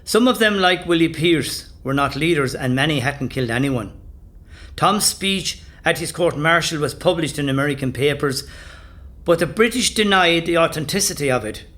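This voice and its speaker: An actor as the earnest narrator of a historical audio drama, reading from a script. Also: male voice, fairly high pitch, animated, normal speed, fairly bright tone. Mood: measured.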